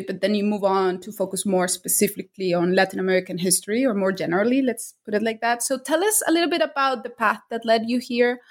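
A woman hosting an interview podcast who reads a formal introduction and asks long, enthusiastic questions. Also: a little high.

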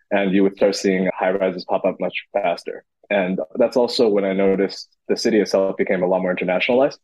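A man's voice: sits low, no echo, muffled recording. A man in his thirties who grew up in Beijing, answering interview questions thoughtfully with frequent restarts. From Beijing, China. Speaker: podcast guest, entrepreneur.

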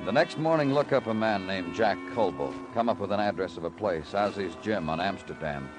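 An actor in an old-time radio crime drama playing a calm, matter-of-fact detective, terse and dry in clipped phrases. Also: male voice, sits low, a little fast, over loud music. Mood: dry narrating. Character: calm, matter-of-fact male police detective narrating his case. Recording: vintage radio broadcast recording.

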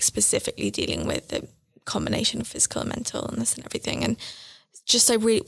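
A woman's voice: medium pitch, creaky. A young adult woman speaking earnestly and reflectively as an interview guest, with frequent "like" fillers. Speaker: book editor and guest. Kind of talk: interview conversation.

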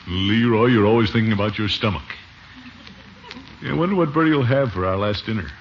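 An actor playing a pompous, sentimental middle-aged uncle in a radio comedy, with a blustery, sighing delivery. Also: male voice, lowish voice, a little fast, lightly acted. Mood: wistful. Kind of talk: 1950s radio situation comedy acting. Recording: old muffled radio recording, faint hiss.

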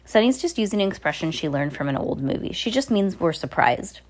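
A woman's voice: on the low side, very animated, fairly quick. A woman voicing a sensible, polite teenage girl who explains things earnestly.

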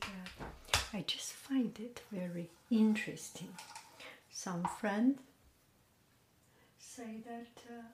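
Woman, podcast host, soft half-whisper, very slow pace, echoing room, faint background hiss. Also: mid-range voice. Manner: gentle, half-whispered, relaxed delivery. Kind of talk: soft, slow casual chat. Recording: roomy echo with faint noise.

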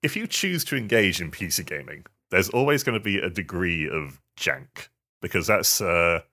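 Deep, bright-voiced man, halting delivery. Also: very animated. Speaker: podcast co-host, games hardware reviewer. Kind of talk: casual tech review chat.

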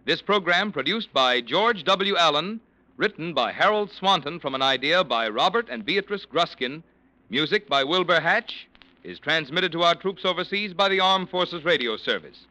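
A man's voice: a little high, lively intonation, moderate pace, bright, forceful tone, rough recording. A man with an American accent, reading polished, emphatic announcements and sponsor copy in 1940s radio style.

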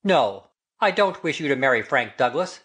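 A woman's voice: extremely low pitch, lively intonation, moderate pace, fairly bright tone. A woman voicing an earnest, lovelorn older man in a storybook romance, solemn and impassioned. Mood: passionate. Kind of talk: audiobook character dialogue.

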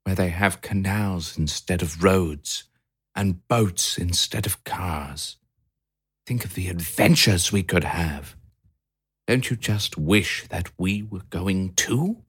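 A man putting on a caged male hamster who is bored and longs for adventure. The voice is kept soft and husky, as if from the back of the room. It sounds slow and wistful in a bedtime storybook. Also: low-pitched, heavily acted. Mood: scheming. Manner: hushed, wistful and drawn out. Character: restless adventure-hungry male pet hamster.

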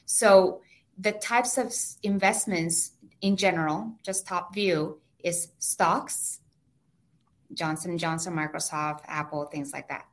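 A woman's voice: on the low side, steady tone, slow. A woman with a heavy Mexican Spanish accent, a native Spanish speaker, patiently explaining finances step by step as an interview guest.

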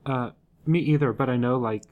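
A woman's voice: rumbling low, normal speed, narrow, tinny audio. A woman bantering casually on a podcast with a joking manner. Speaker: podcast cast member. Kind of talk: casual chat.

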